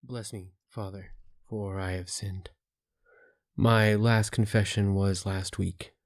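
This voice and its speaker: A man acting a bitter, angry churchgoer in an audio drama, making confession in a halting, seething way. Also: fairly low pitch, little pitch movement. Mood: bitter, restrained anger.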